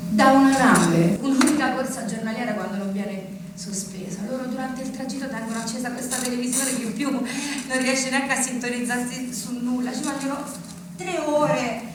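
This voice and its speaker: A woman's voice: high voice, fairly even intonation, normal speed, close to an everyday voice. An actress playing a defiant, wounded woman who left her provincial town, in insistent, emphatic theatrical stage acting. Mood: earnest, defensive. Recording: echoey stage recording from far off, noisy.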